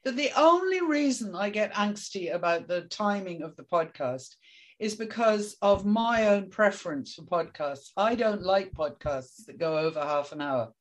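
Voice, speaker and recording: An older woman co-hosting a family podcast alongside her daughters. She speaks in a dry, matter-of-fact way. Her pitch stays in a middle range. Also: lively intonation, moderate pace. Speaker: podcast co-host. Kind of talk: casual family chat.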